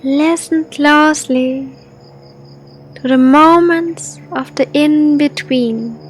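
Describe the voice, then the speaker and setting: Very slow, high-pitched woman guiding meditation. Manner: slow, deliberate, spaced-out short phrases. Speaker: meditation guide. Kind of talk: guided sleep meditation.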